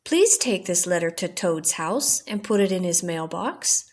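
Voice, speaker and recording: A woman's voice: on the low side, expressive, a little slow. A woman voicing an eager, kindly male frog in a children's storybook, earnest and coaxing. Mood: purposeful. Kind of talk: children's storybook read-aloud.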